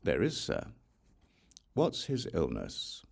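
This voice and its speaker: A man reading a religious text as scripted audiobook narration in a measured, deliberate way. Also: low-pitched, wildly swooping pitch, gravelly. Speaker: audiobook reader.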